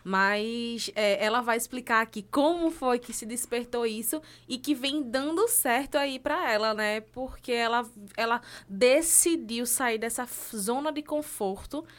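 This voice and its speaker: A woman co-hosts a relaxed live podcast with a fairly high voice. She tells stories with lively energy and laughs easily between lines. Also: unhurried, few pauses. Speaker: co-host, insurance colleague. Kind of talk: casual live podcast chat.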